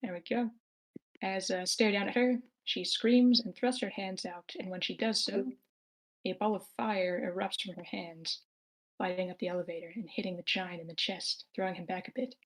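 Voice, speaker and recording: A woman's voice: mid-range voice, fairly even intonation, normal speed, poor audio. A woman narrating scripted stage directions for a live screenplay table read. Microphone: remote online meeting.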